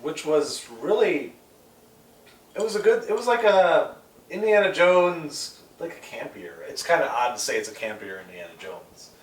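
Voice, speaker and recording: Animated man in an echoey room. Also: on the high side, moderate pace. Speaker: podcast co-host. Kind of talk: casual panel discussion. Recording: echoey room, distant mic, faint noise.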